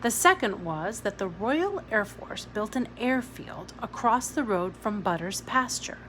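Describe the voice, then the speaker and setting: A woman's voice: medium pitch, measured pace, rough recording. A woman reading a novel aloud in a storytelling narration style. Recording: clear steady background noise.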